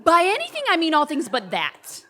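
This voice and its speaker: A woman's voice: high voice, huge pitch swings, brisk, bright, forceful tone, full character voice. A woman playing a timid, loyal male friend in a comic stage play, nervous, earnest and pleading. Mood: panicked.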